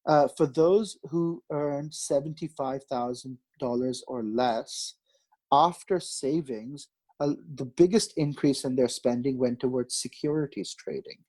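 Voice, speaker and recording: A man's voice: medium pitch, unhurried, a little mellow. A man in his late thirties from Pakistan, a market strategist answering interview questions in an explanatory way, with frequent restarts and fillers.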